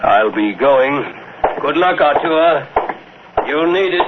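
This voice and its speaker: Casting: calm, deliberate doctor confederate, 1950s radio drama, thin tinny broadcast sound. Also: male voice, a little high, background noise. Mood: calm, grave.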